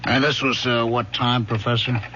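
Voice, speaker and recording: An actor playing a blunt, wry police lieutenant with folksy slang in an old-time radio drama. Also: male voice, medium pitch, level tone, moderate pace, close to an everyday voice. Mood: businesslike. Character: gruff, wry, no-nonsense male police lieutenant. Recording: noisy, muffled old radio broadcast.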